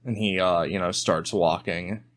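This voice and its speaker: A man's voice: low voice, steady tone, unhurried, fairly bright tone. A man playing a tabletop game, casually improvising narration of his character.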